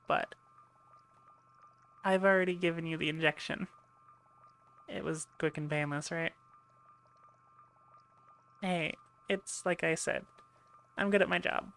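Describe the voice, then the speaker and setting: A woman acts out a female medic on an alien warship in an ASMR roleplay. Her voice sits a little low with plenty of creak, and she talks in a chatty, playful way, teasing and reassuring her patient. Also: fairly bright tone. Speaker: audio roleplay voice actor. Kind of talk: ASMR roleplay, one-sided conversation.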